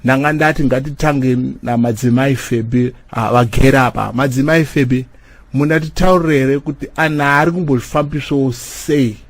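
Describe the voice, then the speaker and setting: A man's voice: mid-range voice, normal speed, dry recording, lightly acted. A man playing a preachy church elder in a comedy cartoon, exhorting in Shona with a repetitive, sermon-like delivery. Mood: orderly, inquiring.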